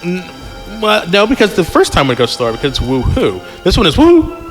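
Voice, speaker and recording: A man's voice: high-pitched, wildly swooping pitch, fairly quick, faint music behind. A man reading a story aloud on a podcast in an informal, amused way, breaking off for chatty asides.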